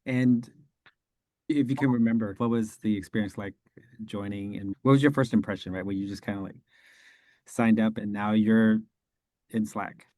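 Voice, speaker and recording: A man hosts a casual online interview, his voice sitting low. He keeps his questions short and encourages his guests. The recording is dry and close. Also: fairly even intonation, normal speed, pauses often, darkish tone, dry recording. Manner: brief, encouraging interviewer prompts. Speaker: podcast host. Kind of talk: casual video call interview.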